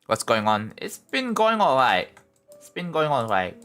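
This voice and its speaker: Chill young guy rambling on his stream, lots of likes and bros, bright tone, faint music behind. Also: mid-range voice, wildly swooping pitch, a little slow, clear, resonant tone. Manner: laid-back, rambling, many likes and bros. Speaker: livestreamer building a game level. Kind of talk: casual live stream chat.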